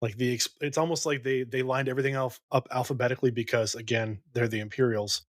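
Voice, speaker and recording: A man's voice: mid-range voice, steady tone, fast, no echo, clean studio sound. Rambling man describing his character's moves. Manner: rambling, thinking aloud while describing. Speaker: player. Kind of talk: casual in-character table talk.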